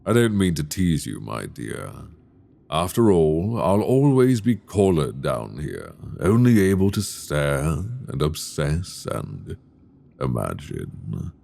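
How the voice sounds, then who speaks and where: A man's voice: extremely low pitch, sing-song, vocal fry, full character voice. A man playing an imprisoned mad dragon king, seductive, obsessive and menacing, in an intimate, teasing, theatrical ASMR roleplay delivered close to the mic. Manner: theatrical, teasing, drawn-out delivery with soft asides. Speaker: audio roleplay voice actor. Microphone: close binaural microphone.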